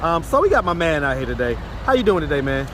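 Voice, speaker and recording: A man hosting a street interview, firing direct, probing questions in easy casual slang, his voice sitting a bit higher than most men's. City traffic hums clearly behind him. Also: big pitch swings, quick pace. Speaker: street interviewer and channel host. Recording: street traffic noise outdoors.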